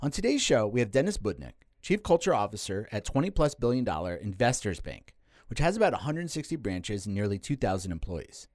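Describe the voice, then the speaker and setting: A man hosting a podcast, reading a clear, steady introduction and asking guests questions. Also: fairly low pitch, a little fast, dry recording, clean studio sound. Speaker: podcast host. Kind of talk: scripted podcast intro and interviewing.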